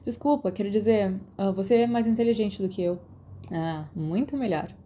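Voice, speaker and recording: A quiet schoolboy from a fantasy storybook, acted by a woman. He is awkward and evasive, with a hesitant, subdued delivery. The tone is dark and mellow. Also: medium pitch, moderate pace, slight background noise, lightly acted. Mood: hesitant, thoughtful.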